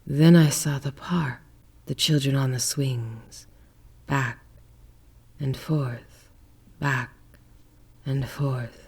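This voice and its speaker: A man voicing a bewildered, childlike strange man in a horror story, speaking softly and haltingly in dreamy fragments. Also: medium pitch, fairly even intonation, gravelly, slightly rough, exaggerated voice. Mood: dreamy, dazed. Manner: slow, halting, dreamy, rambling in short fragments. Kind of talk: dramatic horror story reading.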